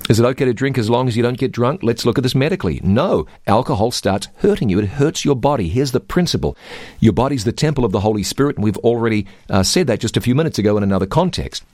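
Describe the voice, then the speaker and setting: A man's voice: mid-range voice, lively intonation, fast talker, a little mellow, no echo, clean studio sound. A man hosting a radio Bible question show, speaking in an earnest, emphatic preaching manner.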